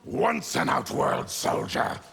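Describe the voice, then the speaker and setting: An actor playing a fierce, bitter, diseased monster warrior in a fighting game, with a gravelly growl and a forceful, solemn manner. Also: male voice, slow pace. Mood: hostile, contemptuous. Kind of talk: fighting game character dialogue.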